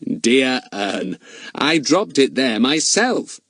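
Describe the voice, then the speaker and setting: Man doing a bossy clever kid, quick orders, a bit higher than normal. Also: wildly swooping pitch, slightly rough, no room sound, studio quality. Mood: pleased. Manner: brisk, commanding, a little raised. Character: clever, confident boy leader, brisk and bossy. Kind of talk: audiobook character voice.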